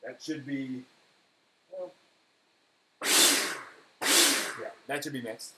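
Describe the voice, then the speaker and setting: A man's voice: medium pitch, drawn-out words. A man hosting a casual cooking demonstration, rambling and correcting himself as he talks through the steps while he works. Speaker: live stream host. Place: indoor kitchen at a counter. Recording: echoey room, clear background noise.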